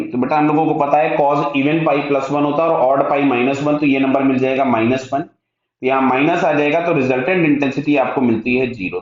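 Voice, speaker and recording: A man with an Indian accent teaching physics step by step, asking short check questions and answering them himself. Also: mid-range voice. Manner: mixes Hindi with English technical terms. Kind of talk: step-by-step lesson, working through a problem aloud. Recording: very echoey room, dull muffled sound.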